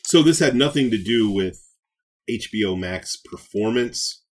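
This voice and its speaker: A man's voice: on the low side, expressive, measured pace, husky. A man co-hosting a podcast, chatting in casual banter. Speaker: podcast co-host.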